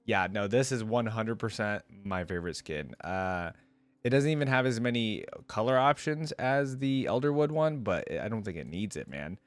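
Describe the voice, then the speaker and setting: A man's voice: fairly low pitch, animated, moderate pace, dry recording. A man giving informal, rambling and opinionated commentary in a casual reaction video. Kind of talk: casual reaction commentary, partly reading aloud.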